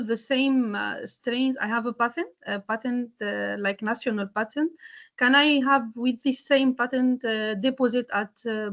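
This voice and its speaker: Woman from tunisia asking a question at a workshop, kinda high voice, hesitant, muffled sound. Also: vocal fry, fairly bright tone. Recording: dull lo-fi audio.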